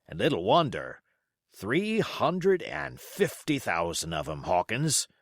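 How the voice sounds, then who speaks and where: Man acting a wily old sea cook, lively swooping voice. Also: fairly low pitch, no room sound, studio quality, full character voice. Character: old sea cook, folksy, wily, fond of his parrot. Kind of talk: audiobook character voice.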